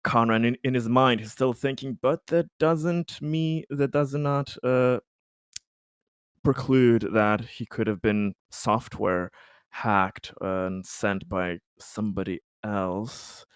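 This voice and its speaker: A man playing a tabletop roleplaying game, explaining his character's thinking in a casual, deliberate, wordy way. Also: mid-range voice, fairly even intonation, clear, resonant tone, no echo. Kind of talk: casual in-game discussion, describing his character in third person.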